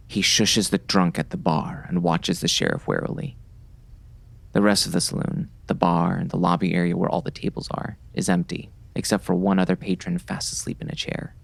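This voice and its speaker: A man playing an uneasy gunman who narrates a western audiobook in a brooding, gravelly, dramatic way. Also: low voice, normal speed, full character voice. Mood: tense, wary. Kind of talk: first-person audiobook narration, present tense.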